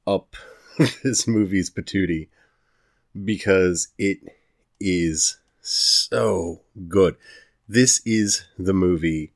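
Low-pitched man, enthusiastic podcast host. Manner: enthusiastic, emphatic. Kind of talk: casual solo podcast review.

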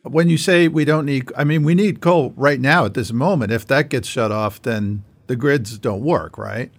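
A man's voice: mid-range voice, normal speed. A man hosting a conversational interview, thinking aloud as he builds long questions. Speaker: interviewer, host.

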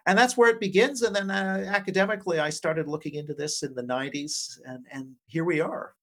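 A middle-aged man gives thoughtful, measured interview answers in an academic, hedging manner. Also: a little high, fairly bright tone. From Vancouver, Canada, and partly Asia. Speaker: interview guest, politics professor.

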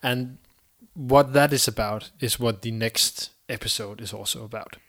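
A man with an average-pitched voice talks in a casual podcast discussion. He is a co-host who speaks haltingly, often doubling back on words while he works out his thought. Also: fairly bright tone.

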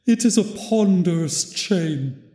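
A man's voice: sits high, animated, slow pace, dark tone, voice pushed up. A man voicing the tormented, mournful ghost of a dead miser in a storybook reading, solemn, wailing and drawn out. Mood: mournful, lamenting. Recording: very echoey, long reverb.

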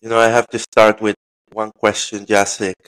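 A man hosting a casual conversational interview, speaking a little haltingly and repeating phrases. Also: on the low side, completely flat delivery, a bit bright, smooth, rough recording. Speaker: interview host.

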